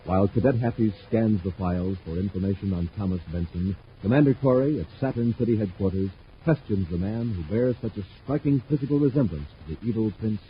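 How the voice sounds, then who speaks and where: A man's voice: fairly low pitch, brisk, hardly any pauses, natural voice. A man narrating a 1950s radio serial as announcer, formal and dramatic. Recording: muffled old radio recording, faint noise.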